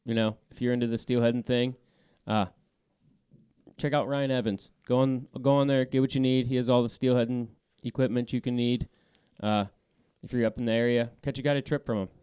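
Smooth-voiced casual podcast host man. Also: medium pitch, fairly even intonation, fairly quick, dark tone, no echo. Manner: laid-back, frequent filler words; voice that carries. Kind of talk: casual fly fishing podcast chat. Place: small podcast studio around a table.

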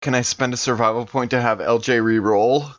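A man plays a tabletop game and talks about it casually. His mid-pitched voice comes through a little dull and lo-fi, close and without any room sound. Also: fairly even intonation, fairly quick, dry recording.

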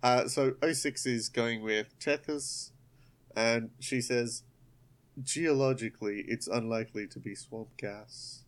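A man's voice: medium pitch, fairly even intonation, slow pace. A man playing a tabletop role-playing game, chatting casually and tossing in playful ideas. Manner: playful, jokey. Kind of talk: casual improvised tabletop role-play chat.

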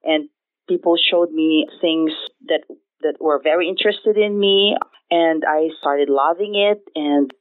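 A woman's voice: deep voice, measured pace. A middle-aged woman from the Philippines with a Filipino accent, answering conversationally as an interview guest. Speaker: interview guest, director of nursing. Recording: tinny phone line.

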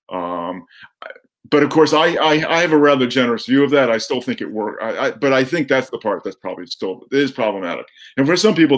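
Measured man in a film discussion. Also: medium pitch. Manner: measured, hesitant, qualifying his opinions. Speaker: guest film commentator.

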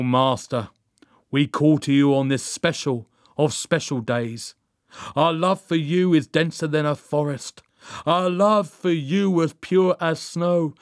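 A man voicing an earnest female cultist in an audiobook, chanting devotion to a dark master in a solemn, drawn-out incantation. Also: a little high, no echo, clean studio sound, voice pushed up.

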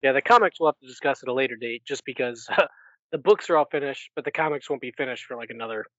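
A man's voice: medium pitch, fast, a bit bright, dry recording, lo-fi sound. A man co-hosting a podcast, talking casually through a recap of books. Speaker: podcast co-host. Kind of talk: casual book recap discussion.

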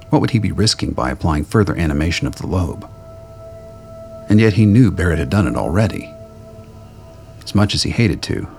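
A man's voice: low-pitched, vocal fry. A man narrating a science fiction radio play in a scripted, dramatic storytelling style.

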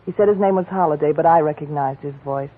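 A glamorous, pleading woman who coaxes in a husky, breathy voice. Her delivery is melodramatic, in 1940s radio style. The broadcast sounds thin and scratchy, like a tinny phone line. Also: low voice, quick pace. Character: glamorous woman, the detective's old flame, pleading.

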